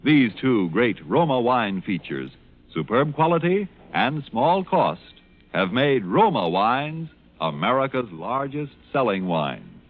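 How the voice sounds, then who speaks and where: A man reading a scripted 1940s radio advertisement as an announcer, with emphatic, deliberate salesmanship. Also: medium pitch, very animated. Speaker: commercial announcer. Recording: thin, phone-like vintage radio recording.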